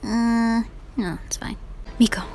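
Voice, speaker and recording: A woman's voice: fairly high pitch, steady tone, takes it slowly, warm tone, slight background noise. A young adult woman giving casual, slangy gaming commentary, reacting to the game and reading dialogue choices aloud. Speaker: game streamer.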